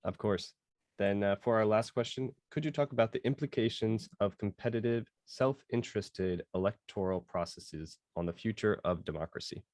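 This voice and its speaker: Male moderator for a Q&A, slightly low voice, dry close sound. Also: normal speed, a little mellow, dry recording. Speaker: audience questioner, moderator. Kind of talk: question and answer at a talk.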